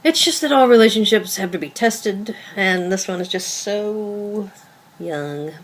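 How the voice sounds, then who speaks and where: A woman's voice: mid-range voice, measured pace, slight background noise, close to an everyday voice, poor audio. A woman reading as a blunt, guarded girlfriend. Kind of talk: table read of a two-person stage play.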